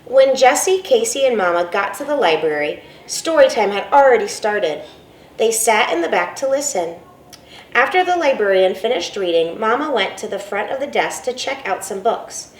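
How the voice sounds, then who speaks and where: A school principal reads a picture book aloud, her voice rising and falling with lively expression. She is recorded from a distance in an echoey room, with faint ambience behind. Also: a little high, normal speed. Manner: expressive storytelling.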